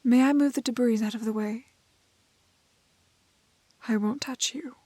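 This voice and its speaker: A woman playing a prim, plucky, kind-hearted young woman in a fairy-tale roleplay, with soft voice and proper, old-fashioned phrasing. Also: fairly high pitch, steady tone, a little slow, dry recording. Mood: gentle, careful. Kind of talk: romantic fantasy roleplay audio acting.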